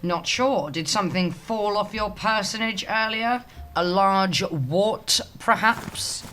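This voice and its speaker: A woman's voice: mid-range voice, takes it slowly, fairly bright tone. Woman acting a sardonic British office worker, noisy background. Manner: blunt, flippant, sardonic. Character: Cara, blunt sarcastic woman office worker. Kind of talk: scripted audio comedy drama. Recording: clear street noise with siren behind.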